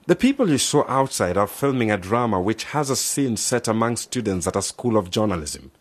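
A man's voice: fairly low pitch, sing-song, a little fast. A man acting in a radio drama as a genial, helpful institute director, formal and patient as he explains things.

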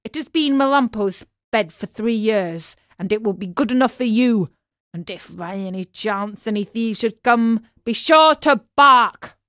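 A woman voicing an angry, rough, stern male farmer in a children's storybook, speaking in a threatening manner. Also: medium pitch, moderate pace, dry recording, studio quality, natural voice. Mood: gruff commanding.

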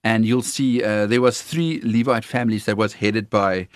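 Afrikaans guy, kinda low voice, earnest, lots of ums and restarts, dry mic. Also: fairly even intonation, dry recording. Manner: earnest, halting with frequent fillers. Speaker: worship leader trainer. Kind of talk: casual conversation on faith.

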